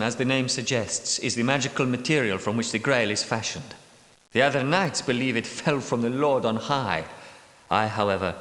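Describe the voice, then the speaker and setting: A man voicing a bitter, secretive knight guardian who is centuries old, speaking in a measured, world-weary way in a game's voice lines. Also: medium pitch, a bit bright. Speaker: game character. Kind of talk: game character voice lines. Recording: echoey reverberant room.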